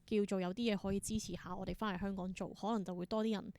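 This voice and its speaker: A woman's voice: medium pitch, steady tone, fast talker, a little mellow, clear, resonant tone, no echo. A woman with a Hong Kong Cantonese accent, speaking thoughtfully as an interview guest and mixing in English words. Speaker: interview guest, theatre performer and creator. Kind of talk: reflective interview conversation.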